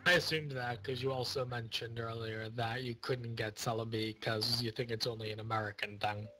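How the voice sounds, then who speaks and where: A man's voice: mid-range voice, normal speed, faint music behind, poor audio. A man co-commentating a speedrun, casually asking the runner questions. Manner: asks questions, relaxed. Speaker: marathon co-commentator. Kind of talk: casual chat.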